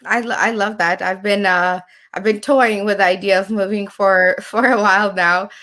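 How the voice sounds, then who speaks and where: Friendly-sounding podcast host, woman, mid pitch, easygoing chatter. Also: steady tone, moderate pace, bright, forceful tone. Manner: chatty, laughs easily. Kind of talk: casual interview chat.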